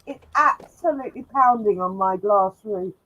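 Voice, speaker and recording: A woman's voice: mid-range voice, moderate pace, a bit bright. A woman hosting a sci-fi live stream, chatting casually and laughing often, in a room with a glass roof. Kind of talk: casual chat. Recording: dull lo-fi dry sound.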